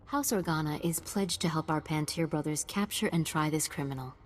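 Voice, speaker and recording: A woman's voice: low voice, a little fast. A woman playing a gracious, formal noble ambassador in a video game, speaking with diplomatic courtesy. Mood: courteous, earnest. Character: gracious, dignified female ambassador of a noble house. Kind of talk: video game cutscene acting. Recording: long hall reverb.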